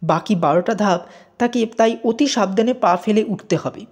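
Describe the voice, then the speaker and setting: A woman narrating a scripted audio story in an expressive storytelling manner. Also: medium pitch, moderate pace.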